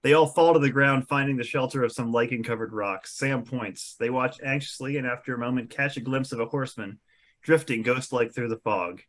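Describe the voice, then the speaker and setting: A man's voice: mid-range voice, brisk, a bit bright. A man reading scene descriptions as the narrator of a script table read.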